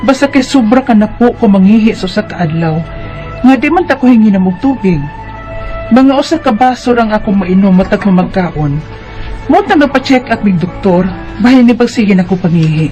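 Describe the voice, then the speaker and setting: A woman's voice: mid-range voice, animated, moderate pace, dark tone, faint music behind, close to an everyday voice. A woman with a Cebuano Bisaya accent playing a tired, worried teenage schoolgirl who complains and questions in a radio drama. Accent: Cebuano Bisaya Filipino.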